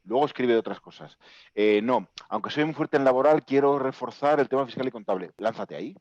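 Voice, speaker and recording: A man's voice: mid-range voice, fairly quick, a little mellow, no echo, highs cut off. A man from Bilbao who runs a company, giving direct, encouraging advice in short commands on a video call panel. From Bilbao, Spain. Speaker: company CEO. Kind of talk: webinar panel answer.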